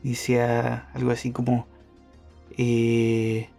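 A man's voice: medium pitch, completely flat delivery, takes it slowly, creaky, warm tone, soft background music. A man hosting a podcast, talking through his thoughts in a casual monologue, hesitant, with many fillers and restarts. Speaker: podcast host.

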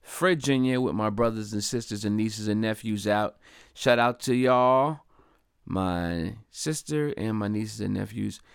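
A man from Delaware hosting his own podcast, talking in a casual, upbeat, chatty way and giving slangy shout-outs to listeners. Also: lowish voice, creaky, dry recording, clean studio sound. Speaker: podcast host. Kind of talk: casual solo podcast monologue.

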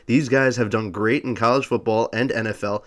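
A man's voice: medium pitch, fairly even intonation, moderate pace. A man co-hosting a casual sports podcast, congested and stuffy, rambling with many fillers and restarts. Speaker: podcast co-host.

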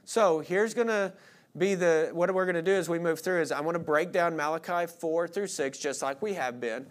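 Conversational male preacher, higher-pitched voice. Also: normal speed. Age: late 30s. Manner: informal, self-deprecating, rhetorical questions to listeners. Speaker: pastor preaching. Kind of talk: expository sermon, conversational.

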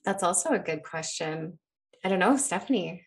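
Deep gravelly-voiced woman, earnest. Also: animated, normal speed. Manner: earnest, hesitant with fillers. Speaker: podcast co-host. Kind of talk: casual interview chat.

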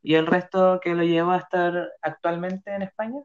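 A young man with a Chilean Spanish accent leads a playful mock interview. His voice is fairly high, and he rambles and hunts for words. The recording sounds dull and muffled. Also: flat delivery, normal speed. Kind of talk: casual role-played interview.

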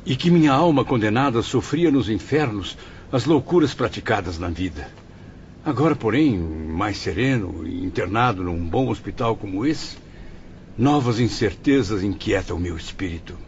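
A man's voice: on the low side, animated, slight background noise. An actor playing a confused, anguished male spirit in a radio drama, solemn and dramatic, with a Brazilian accent. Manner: dramatic, solemn, halting when distressed. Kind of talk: radio drama acting with first-person narration. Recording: dull lo-fi radio drama, music behind.

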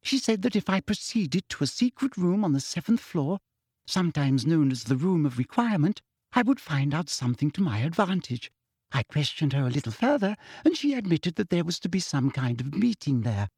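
A man's voice: on the high side, sing-song, a little fast, darkish tone, slightly rough, dry recording, studio quality, pitched above the performer's own voice, heavily acted. A man voicing a prim, smug middle-aged woman official with a sickly sweet manner. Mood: sugary smug.

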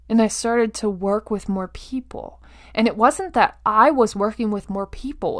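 Woman doing a motivational podcast solo, real slow delivery, medium pitch, emphatic, repeats herself for effect. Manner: emphatic, deliberate pauses, repeats phrases, rhetorical check-ins. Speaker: podcast host. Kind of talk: motivational monologue, addressing the listener directly.

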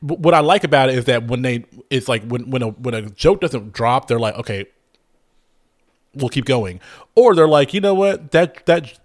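A man co-hosting a casual podcast, reviewing a TV comedy with animated enthusiasm and lots of restarts. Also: mid-range voice, moderate pace. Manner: animated, gushing, frequent restarts and swearing. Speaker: podcast co-host. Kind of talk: casual chat reviewing a TV show.

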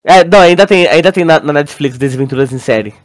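A young man with a Brazilian accent chatting casually as a podcast co-host, in a slangy, halting way. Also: on the high side. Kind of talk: casual chat.

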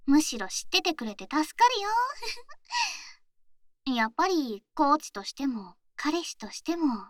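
High, bubbly sporty girlfriend, acted by a woman. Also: a little slow, no echo, studio quality. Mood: affectionate, thankful. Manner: playful, bubbly, expressive. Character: cheerful scatterbrained young athlete girlfriend, affectionate and teasing. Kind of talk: intimate situation voice drama, acted.

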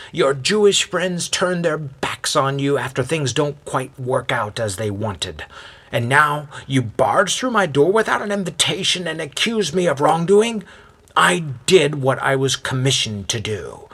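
A man voicing an indignant, defensive sorcerer for hire with a raspy voice in a dramatized story. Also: mid-range voice, a bit bright, pitched above the performer's own voice, exaggerated voice. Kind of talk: acted storytelling dialogue.

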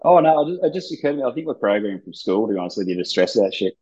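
A man talking in a laid-back, rambling way, swearing casually during a relaxed interview. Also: lowish voice, rapid-fire, husky. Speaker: gym founder and ice hockey player, guest. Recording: dull lo-fi sound.

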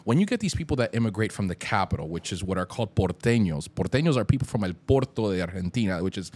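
A man from Miami, a podcast guest, telling stories in an animated, emphatic way, full of slangy asides. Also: lowish voice, quick pace. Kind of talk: casual chat.

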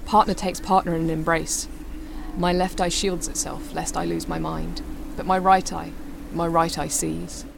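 A woman's voice: lowish voice, flat delivery, moderate pace, creaky, background noise. A woman acting an anguished narrator overwhelmed by a cosmic vision, speaking intense poetic monologue in an audio drama. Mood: anguished intensity. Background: music and sound design.

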